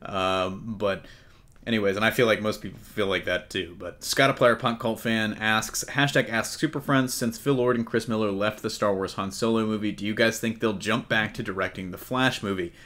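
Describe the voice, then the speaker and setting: A man's voice: on the low side, steady tone, normal speed, a bit bright. A man hosting a casual panel podcast about movies, talking in a jokey, loose way with some swearing. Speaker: podcast host. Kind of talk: casual comic-book movie panel chat.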